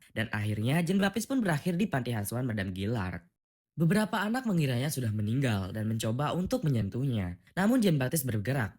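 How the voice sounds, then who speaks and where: A man retelling a film's plot as a recap narrator, in a steady storytelling delivery. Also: medium pitch.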